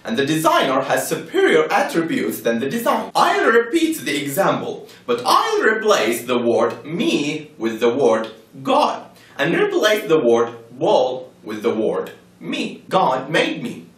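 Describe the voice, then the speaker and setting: A man's voice: a little high, wildly swooping pitch, unhurried, fairly bright tone. A man giving a scripted, persuasive argument with rhetorical questions in an emphatic, argumentative manner. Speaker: presenter of a religious argument. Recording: echoey room, distant from the mic.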